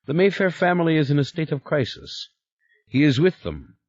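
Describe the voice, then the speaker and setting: A man putting on a smooth Scandinavian-accented envoy. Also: animated, normal speed, darkish tone, no echo, highs cut off. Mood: conciliatory. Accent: Scandinavian with Eastern European touch. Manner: low, conciliatory, patient. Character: big smooth male envoy of a secret order.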